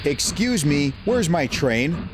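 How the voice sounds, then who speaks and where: A man's voice: fairly high pitch, sing-song, slow, noisy background. A man voicing a grumpy, impatient railway engine in an audio drama, exasperated and complaining. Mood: irritated, hurried.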